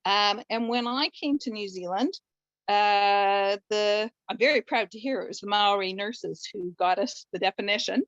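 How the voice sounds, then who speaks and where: A woman's voice: medium pitch, moderate pace, a bit bright, no room sound, muffled recording. A Canadian woman in her fifties, a family doctor, chatting in a friendly, rambling way on a video call. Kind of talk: participant comment in online session.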